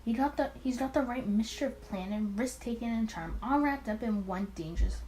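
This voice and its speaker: A woman's voice: on the high side, moderate pace, dark tone, slight background noise, rough recording. A woman reading a visual novel aloud as a host, with side comments, sometimes stumbling and rereading lines. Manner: stumbles over written lines and rereads them.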